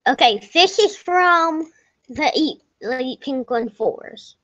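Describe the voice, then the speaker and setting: A young woman talks slowly over her gameplay, her voice a little high and unhurried. She rambles and corrects herself, now and then sinking to a whisper. The home mic sounds dull and lo-fi. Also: animated, a bit bright. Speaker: gameplay narrator. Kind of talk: casual game commentary. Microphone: desk microphone.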